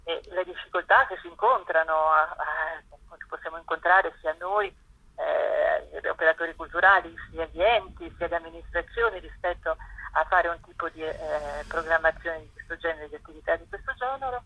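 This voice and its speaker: A woman's voice: low-pitched, moderate pace, breathy edge, no echo. A woman speaking as an interview guest, explaining an event programme informally and hesitantly, searching for words as she goes. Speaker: festival curator, interview guest. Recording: dull lo-fi telephone-like line.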